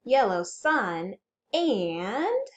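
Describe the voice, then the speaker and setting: A woman hosting a children's storytime, reading aloud and chanting rhymes in an animated, exaggerated way for young children. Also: a little high, drawn-out words, background noise. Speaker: children's librarian storytime host.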